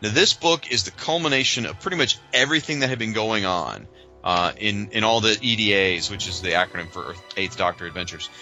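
A man's voice: fairly low pitch, lively intonation, fairly quick, bright, forceful tone, soft background music, rough recording. A man co-hosting a podcast, talking in an informal, opinionated, chatty way as he discusses things from loose notes. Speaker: podcast co-host.

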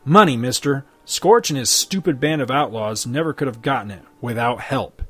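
A man's voice: medium pitch, moderate pace, a bit bright, breathy edge, dry recording, soft background music. A man voicing a sly, crooked small-town sheriff of the old West who talks in a folksy drawl. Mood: smug. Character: sly middle-aged crooked frontier sheriff.

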